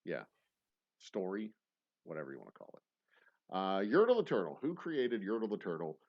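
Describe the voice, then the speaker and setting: A man with a fairly low voice hosts a casual trivia night on a stream, his pitch swinging widely as he rambles and jokes at his own expense. The audio is a bit dull and lo-fi. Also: wildly swooping pitch, moderate pace. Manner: rambling, self-mocking jokes, frequent restarts. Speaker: host reading trivia questions on a livestream. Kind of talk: casual live-stream banter.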